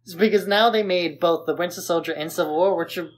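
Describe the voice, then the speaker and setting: A man's voice: high-pitched, fast. Male co-host in casual conversation. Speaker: podcast co-host. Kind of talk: casual film discussion.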